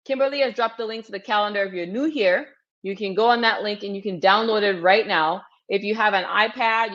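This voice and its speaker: A woman from Cameroon, a physician in her thirties, hosting an energetic webinar and coaching her audience directly, often checking in with "okay?". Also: medium pitch. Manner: upbeat, direct, repeats cues like "okay?" to the audience. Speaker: physician hosting a webinar for international medical graduates. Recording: slightly dull lo-fi webinar audio.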